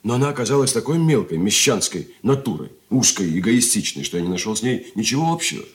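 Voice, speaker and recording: An actor playing a nervous, evasive suitor in a radio drama, speaking earnestly and hesitantly in a theatrical way. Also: male voice, on the low side, big pitch swings, quick pace, slight background noise. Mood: earnest confession. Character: nervous, evasive suitor confessing to his fiancée, then pleading. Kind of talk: Soviet radio drama acting.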